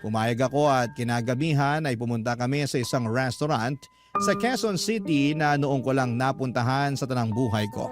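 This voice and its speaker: A man narrating a woman letter sender's love story in the first person, as sentimental, measured radio drama storytelling. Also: medium pitch, close to an everyday voice.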